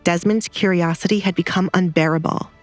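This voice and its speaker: A woman's voice: fairly low pitch, steady tone, a little fast, dry recording, soft background music. A woman narrator reading a scripted romantic drama in a storytelling style.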